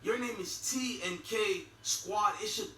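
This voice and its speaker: A man's voice: high voice, unhurried, a bit bright, a little hiss or hum. A middle-aged man with a Haitian accent, giving a blunt, profane video commentary with mocking laughs. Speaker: YouTube commentator. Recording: echoey room, far from mic.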